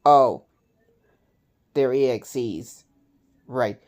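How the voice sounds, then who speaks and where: A woman hosting a reaction video, giving casual commentary in short, halting phrases. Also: extremely low pitch, darkish tone.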